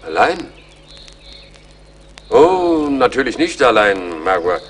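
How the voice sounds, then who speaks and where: An actor playing a courteous, earnest English army major in a radio play, speaking in a formal manner. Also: male voice, mid-range voice, big pitch swings, takes it slowly. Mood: persuading. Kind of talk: German radio play acting.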